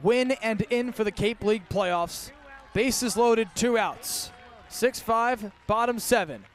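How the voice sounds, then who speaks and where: A male baseball broadcaster with a very high-pitched voice delivers live radio play-by-play. He calls the game in punchy, clipped phrases, stretching words out as plays get bigger. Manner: punchy clipped calls that build to drawn-out shouts on big plays.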